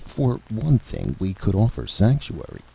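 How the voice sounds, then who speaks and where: Man acting out earnest, stiff envoy, deep voice. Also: wildly swooping pitch, unhurried, vocal fry, slightly rough, exaggerated voice. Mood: insistent, formal. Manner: formal, insistent salesmanship. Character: earnest, stiff male envoy of a tiny nation. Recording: dull, noisy vintage radio recording.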